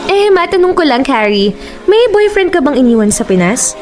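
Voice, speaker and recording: An actress playing a friendly, teasing young office coworker in a radio drama, with breezy, slangy delivery. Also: female voice, sits high, very animated, moderate pace, a little mellow. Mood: friendly, welcoming. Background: faint music.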